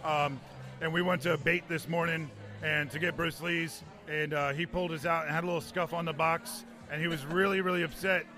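A man's voice: on the high side, steady tone, brisk, a crowd in the background. A man from the United States being interviewed in a bar, telling stories in a casual, conversational way. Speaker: interview guest, toy collector. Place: bar in New York. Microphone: handheld recorder.

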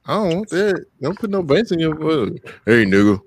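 Lively, teasing male podcast host. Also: a little high, normal speed, a little hiss or hum. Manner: teasing, joking. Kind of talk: casual chat.

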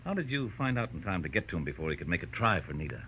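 A man's voice: low voice, very animated, rapid-fire, sharp tone, husky, heavily acted. An actor playing a friendly, plain-spoken theater man in 1940s radio style. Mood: puzzled. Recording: old radio recording, faint hiss.

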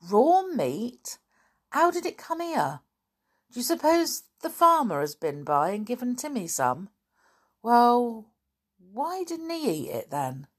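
Schoolboy adventurer read by a woman: jolly, curious, exclamatory, raised pitch, old-fashioned storybook style. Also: very animated, takes it slowly, exaggerated voice. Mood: puzzled. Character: eager, inquisitive boy in old-fashioned children's adventure.

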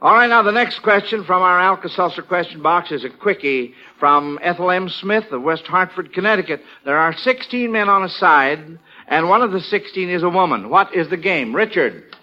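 A man's voice: high-pitched, expressive, moderate pace, dull audio. An American man hosting a 1940s radio quiz show in a folksy, exclamatory manner, on an old recording.

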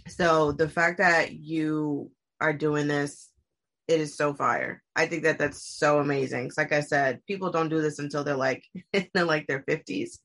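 A woman hosting a podcast chats with her guest in a casual, gushing way, throwing in slang and lots of fillers. Her voice sits slightly low for a woman. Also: fairly even intonation, a little slow, a bit bright. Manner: enthusiastic, slangy praise with many fillers. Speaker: podcast host. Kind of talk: casual interview chat.

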